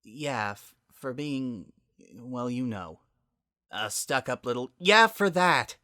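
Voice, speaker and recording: A man acts out a guilty younger sister, a female unicorn pony in a storybook drama. The voice sits high and tense, blunt and defensive with plenty of emotion. The recording is dry. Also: expressive, slow pace, pushed and bright, no echo.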